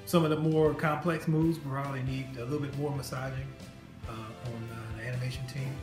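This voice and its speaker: A man's voice: mid-range voice, a little fast. Laid-back male developer, jokes easily, echoey room, music underneath. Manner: relaxed, loose, jokey. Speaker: game developer. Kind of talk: casual interview chat.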